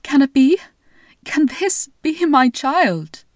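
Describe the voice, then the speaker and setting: A weary king from a storybook tale, as a woman reading aloud puts him on, pleading with raised, drawn-out words. His voice rises above the narration and slows with feeling. It is dry and close. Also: high-pitched, sing-song, no echo, pitched above the performer's own voice, exaggerated voice. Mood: overjoyed, tearful. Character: weary, tearful grown king searching for his family.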